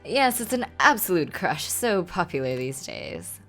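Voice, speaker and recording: A woman voicing a kindly, lively, meddling older aristocratic godmother from a game, with grand, genteel phrasing. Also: lowish voice, unhurried, soft background music. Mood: proud. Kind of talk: reading game dialogue aloud.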